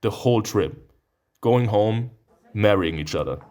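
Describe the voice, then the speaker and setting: A man's voice: on the low side, lively intonation, a little slow, darkish tone. A man hosting a gaming video in a playful, theatrical way, switching between reading visual novel text aloud and commenting on it. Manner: playful, theatrical, prone to sudden outbursts.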